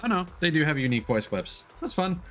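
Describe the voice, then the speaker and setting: A man's voice: a little high, very animated, quick pace, fairly bright tone, faint music behind. A man livestreaming a video game, chatting casually and commenting as he plays.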